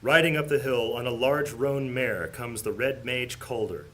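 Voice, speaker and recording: A man giving steady, descriptive scripted narration for a fantasy audio drama. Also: mid-range voice, moderate pace.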